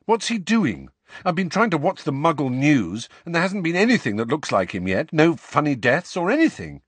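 A man plays a storybook teenage boy, earnest and impatient. The pitch is raised and very mobile, the questions blunt and direct. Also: sing-song, moderate pace, fairly bright tone, husky, no echo, heavily acted. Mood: urgent.

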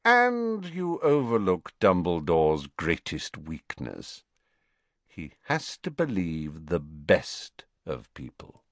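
Man playing a cold calculating wizard, slow and deliberate, dry close sound. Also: low-pitched, wildly swooping pitch, a bit bright, dry recording, full character voice. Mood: smug. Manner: measured, cool, deliberate. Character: cold, calculating male wizard, measured and guarded. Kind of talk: audiobook character voice.

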